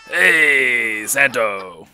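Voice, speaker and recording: An actor playing a jovial, carousing mobster in a radio drama, with a loose, careless manner. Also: male voice, mid-range voice, animated, very slow, sharp tone, a little hiss or hum, heavily acted.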